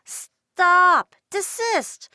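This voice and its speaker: A woman doing an eager little girl, high and frantic. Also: measured pace, no room sound, clean studio sound. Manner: excited, pleading and high. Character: eager little girl who loves big words.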